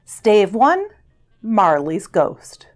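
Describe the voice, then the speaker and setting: A woman's voice: medium pitch, sing-song, extremely slow, soft background music. A woman hosting a dramatic reading, giving a scripted, scene-setting introduction.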